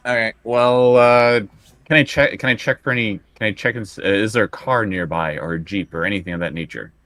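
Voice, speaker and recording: Low, bright-voiced man playing a tabletop game. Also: fairly quick, no room sound. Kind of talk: casual improvised game play.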